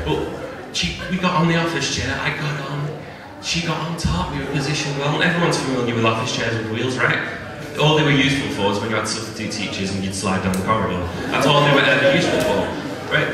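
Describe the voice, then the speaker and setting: A young man with a Liverpool accent doing a chatty, self-deprecating stand-up set, tagging lines with "right?". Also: mid-range voice. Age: young adult. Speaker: stand-up comedian. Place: comedy venue with a live audience. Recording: echoey room, distant mic, audience laughter.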